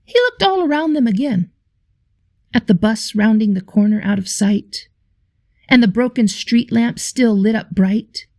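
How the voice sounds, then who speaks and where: Slow, expressive woman reading a storybook. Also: fairly high pitch, no echo. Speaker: teacher reading stories to children. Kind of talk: expressive children's storybook read-aloud.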